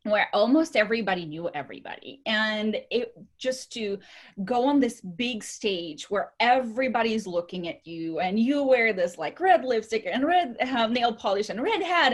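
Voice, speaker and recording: A woman from Bulgaria with a Bulgarian accent, talking in an outgoing, chatty way as an interview guest sharing personal stories. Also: on the high side. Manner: chatty, outgoing, often laughing. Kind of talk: conversational personal storytelling.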